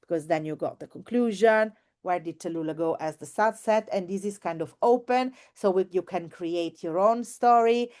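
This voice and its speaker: A woman's voice: fairly low pitch, moderate pace. Enthusiastic, effusive woman presenting. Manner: enthusiastic, effusive, expressive, repeats words for emphasis. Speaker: teacher and trainer presenting. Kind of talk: informal online workshop presentation.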